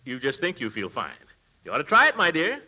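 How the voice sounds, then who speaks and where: A self-important middle-aged uncle from 1940s radio comedy, full of bluster and nervous chuckles. The voice leaps up and down and rings out very sharply. The old recording is dull and muffled. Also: male voice, a little high, wildly swooping pitch, fairly quick, sharp tone, heavily acted. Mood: pompous, self-satisfied.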